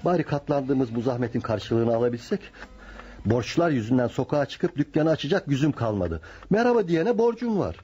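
An actor playing a bitter, grasping middle-aged husband in radio theatre, grumbling and insistent. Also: male voice, medium pitch, very animated, a little fast, warm tone, slightly rough. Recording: noisy, dull lo-fi radio recording.

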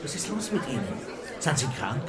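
A man's voice: on the high side, big pitch swings, fairly quick, crowd noise. Exasperated, scolding male police chief. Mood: indignant. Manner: indignant, officious, reproachful. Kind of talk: radio drama acting.

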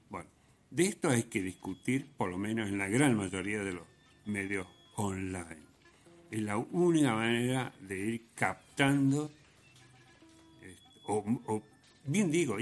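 Low-pitched Argentine man, irreverent radio host. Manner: vehement, irreverent, slangy, halting with repeated tags. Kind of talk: informal opinionated radio monologue.

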